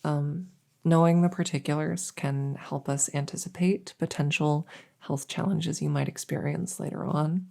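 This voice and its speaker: A woman playing a gentle, reassuring veterinarian in a soft-spoken ASMR roleplay, hesitant and soothing as she explains. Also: low-pitched, fairly even intonation, unhurried, creaky. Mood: thoughtful, chatty. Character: gentle, reassuring female veterinarian examining a werewolf patient. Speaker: audio roleplay performer.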